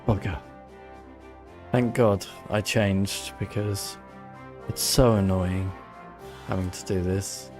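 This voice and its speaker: Low-voiced man casually commentating on gameplay. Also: steady tone, takes it slowly, pauses often. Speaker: video game streamer. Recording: game music behind the voice.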